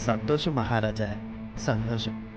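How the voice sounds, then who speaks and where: A man voicing a clever, gleeful court poet with a self-satisfied manner in an animated children's story. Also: on the low side, takes it slowly. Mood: grateful. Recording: muffled lo-fi, music underneath.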